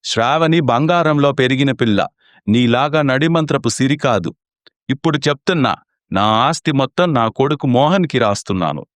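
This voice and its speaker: A man's voice: mid-range voice, lively intonation, normal speed, no room sound, natural voice. A man playing a stern, blunt elderly father in a story, with a commanding, reproachful manner.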